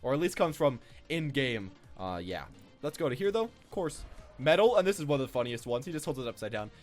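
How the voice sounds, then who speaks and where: A man hosts a casual gaming video with a very lively, swinging pitch. He rambles off the cuff and repeats his phrases, with faint music behind him. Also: fairly quick. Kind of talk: casual off-the-cuff gameplay commentary.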